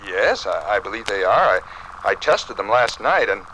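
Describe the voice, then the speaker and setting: An actor playing an eager, excitable amateur inventor and husband with an American accent in a 1950s radio sketch. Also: male voice, lowish voice, moderate pace. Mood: gleeful. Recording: noisy vintage radio recording.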